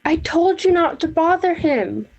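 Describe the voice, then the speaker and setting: High-voiced woman acting a patient, firm friend. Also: lively intonation, measured pace, noisy background, full character voice. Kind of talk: radio play acting.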